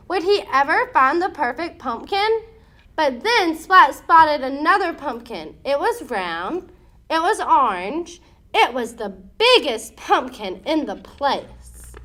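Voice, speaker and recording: A woman narrating a children's storybook as an expressive read-aloud, with dramatic, deliberate emphasis. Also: high voice.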